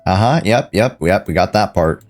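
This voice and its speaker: A man's voice: deep voice, lively intonation, moderate pace, darkish tone. A man doing casual, joking live game commentary as a streamer. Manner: joking, mimics the game's lines.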